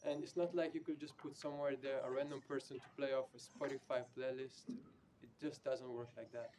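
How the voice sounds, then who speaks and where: A man's voice: medium pitch, level tone, fairly quick, mellow, slight background noise. A man from Senegal, a student government director, speaking bluntly and informally in an off-the-cuff floor debate, with casual fillers. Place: student senate meeting room.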